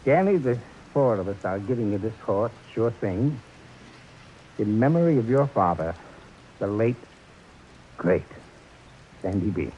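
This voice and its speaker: Gentle old-school gangster, slow easy drawl, bouncy voice. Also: male voice, on the low side, lively intonation, dull audio, a little hiss or hum. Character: gentle, soft-spoken old-time hoodlum man. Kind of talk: 1940s radio acting.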